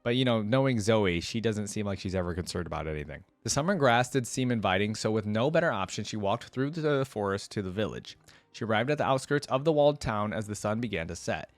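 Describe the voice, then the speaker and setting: A man's voice: fairly low pitch, expressive, a little fast, dry recording, soft background music. A man hosting a reaction video, reading a story aloud expressively and adding casual commentary.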